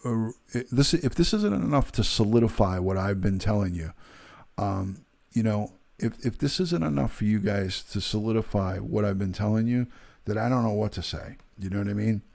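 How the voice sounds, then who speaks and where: A man with a low, gravelly voice and a dark, mellow tone talks to his live-stream viewers. He is emphatic and stumbles into restarts as he builds his point. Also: normal speed. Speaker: streamer and retired detective.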